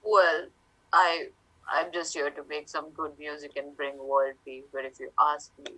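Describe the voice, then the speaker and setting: A woman voicing a laid-back DJ character who speaks in a relaxed way. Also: sits low, unhurried, husky, no echo, exaggerated voice, rough recording. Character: laid-back DJ who wants world peace.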